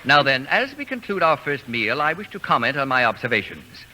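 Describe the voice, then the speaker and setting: An actor playing a pompous, fastidious man who orders students around in formal, precise diction, in 1940s radio comedy style. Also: medium pitch, very animated, sharp tone. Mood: stern, formal. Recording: noisy vintage radio broadcast with clicks.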